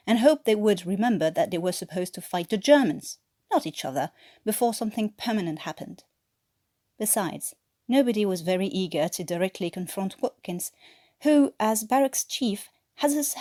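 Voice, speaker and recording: A woman's voice: medium pitch, warm tone, dry recording, studio quality. A woman from France, a native French speaker with a French-tinged English accent, reading a scripted story as an amateur narrator. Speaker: amateur podfic reader.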